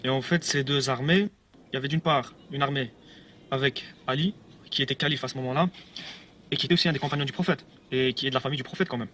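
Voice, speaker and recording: Bright fast-talking man, rambling. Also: medium pitch, a little hiss or hum. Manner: rambling, hesitant, with nervous laughs and repeats. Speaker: ex-believer giving a testimony video. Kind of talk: casual video testimony.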